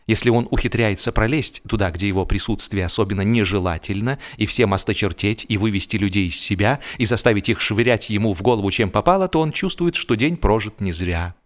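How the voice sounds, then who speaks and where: A man narrating a humorous story in an expressive, theatrical way, with dry comic timing and drawn-out pauses. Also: on the low side. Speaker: narrator, audiobook reader.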